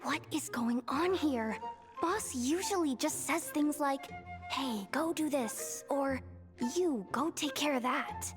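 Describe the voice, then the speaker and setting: A woman voicing an eager, easily rattled young female underling in a video game, with a nervous, stammering, excitable delivery. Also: high-pitched, takes it slowly, over music. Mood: confused, anxious. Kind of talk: animated video game voice acting.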